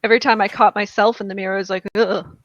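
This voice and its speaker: A woman's voice: mid-range voice, little pitch movement, very fast, fairly bright tone. A woman casually telling a personal story in a wry, self-deprecating way, acting out bits of dialogue on a video call. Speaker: guest on a charity livestream. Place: indoors at home, on a group video call. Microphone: video call microphone. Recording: dull, close video-call audio.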